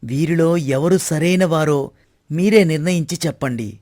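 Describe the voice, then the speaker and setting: A man's voice: on the high side, moderate pace, a little mellow, dry recording, clean studio sound, natural voice. A man playing a formal, deferential royal minister in a dramatized folk story, declaiming respectfully as a courtier would. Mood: respectful, proud. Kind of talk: dramatized moral story acting.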